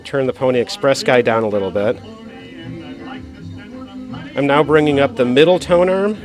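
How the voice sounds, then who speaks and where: Male record enthusiast, mid-range voice, talking conversationally through a demonstration with music softly behind him. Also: mid-range voice, lively intonation, moderate pace. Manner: explanatory, conversational. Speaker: record collector and DJ demonstrating his gear. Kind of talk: casual hands-on demonstration. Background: old records playing.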